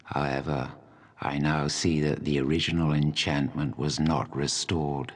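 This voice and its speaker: An actor playing an ancient, proud and embittered dragon in a fantasy video game, speaking in a solemn, deliberate, coldly menacing way. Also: male voice, very deep voice, flat delivery, slightly rough, full character voice. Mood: cold, resolute. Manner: slow, deliberate, solemn delivery.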